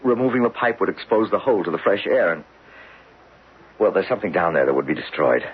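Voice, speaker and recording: An actor playing a desperate, obsessed geologist in an old radio drama, speaking with insistent intensity. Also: male voice, low voice, fast talker, husky. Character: obsessed, desperate male geologist urging belief in his discovery. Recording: muffled, hissy old radio recording.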